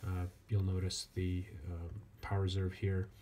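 A man giving a casual hands-on product review as a reviewer, speaking in a halting way with frequent pauses. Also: low voice. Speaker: watch reviewer. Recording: clear steady background noise.

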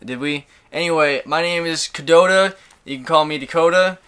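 Loud profane young man, bright voice. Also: on the high side, normal speed, clean tone. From Michigan. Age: 20s. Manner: loud, profane, laughing often. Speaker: podcast co-host. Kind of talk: crude casual chat.

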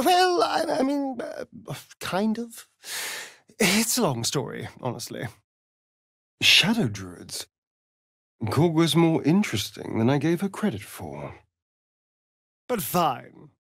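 A man's voice: fairly high pitch, no echo, studio quality, full character voice. A man with an English accent playing a sardonic, aristocratic vampire rogue in a video game, arch, drawling and haughty. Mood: flustered, then disdainful. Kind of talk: video game character dialogue.

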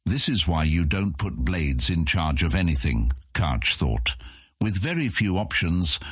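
A man narrating a fantasy audiobook in an expressive storytelling manner. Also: low-pitched, a little slow, slightly rough.

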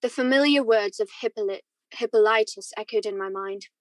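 A woman playing a wistful married man who recalls his youth in measured, reflective radio drama narration. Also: medium pitch, no room sound, lightly acted. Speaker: student actor.

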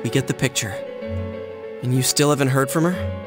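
A man's voice: mid-range voice, normal speed, lightly acted. A man voicing an earnest, casual teenage schoolboy with an American accent in an anime-style video game. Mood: serious. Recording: game music and background crowd.

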